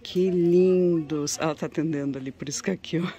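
A woman's voice: sits low, steady tone, a little fast, mellow, muffled recording. A woman with a Brazilian accent chatting casually and admiringly to viewers as she vlogs inside a shop, often ending on little tag questions. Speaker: vlogger showing shop goods to viewers. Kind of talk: casual shopping vlog chat. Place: inside a cluttered home textiles shop.

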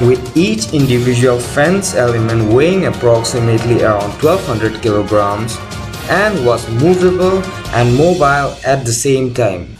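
A man reading scripted documentary narration as the host of a video. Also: mid-range voice. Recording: loud music under the voice. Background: loud background music.

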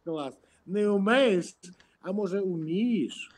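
A middle-aged man with a notably high voice argues over a webcam from a room at home. He is aggressive and mocking, swearing freely and throwing insults at the person he is talking to. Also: animated, slow, darkish tone. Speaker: video chat stranger. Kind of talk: heated argument. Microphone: webcam on a video call.

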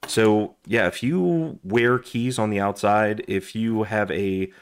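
A man who is hoarse and losing his voice, chatting casually with lots of fillers while he reviews his gear at a desk indoors. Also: lowish voice, slow pace. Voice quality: raspy. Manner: chatty, rambling, with frequent fillers and restarts. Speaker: gear review channel host. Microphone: overhead camera on desk, hands only.

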